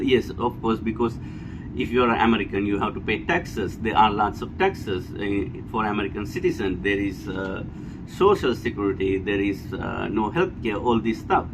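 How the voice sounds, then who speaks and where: A man with a Sri Lankan accent giving an unscripted, blunt opinion monologue, emphatic and repetitive, often checking for agreement. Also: fairly low pitch. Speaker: vlogger giving personal opinion. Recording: clear steady background noise, air-conditioner hum.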